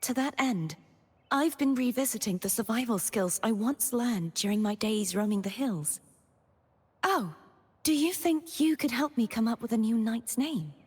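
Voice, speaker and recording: A woman playing a gentle, earnest, solemn young knightly maiden in a video game, speaking softly in a scripted monologue. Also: on the high side, animated, normal speed, husky. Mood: earnest, hopeful. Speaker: game character voice actor. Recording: long reverb on the voice.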